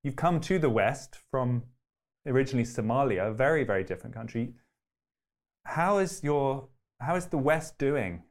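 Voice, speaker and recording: A man's voice: medium pitch, lively intonation, moderate pace. Guy hosting an interview, asks long earnest questions, keeps rephrasing as he goes, normal middle voice. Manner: earnest, searching, rephrases while asking. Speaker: interview host.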